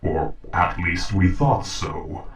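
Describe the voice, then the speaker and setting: Guy doing a earnest robot captor for audio roleplay, really deep, polite n precise, sounds distant and echoey. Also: vocal fry, full character voice. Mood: calm, explanatory. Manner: formal, measured, polite, precise. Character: calm, earnest, logical young sentient robot captor. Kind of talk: scripted audio roleplay drama.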